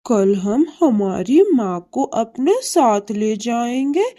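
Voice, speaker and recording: A woman voicing a kind, sensible young boy bird in a children's cartoon, calm and measured. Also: fairly high pitch. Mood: reasoning.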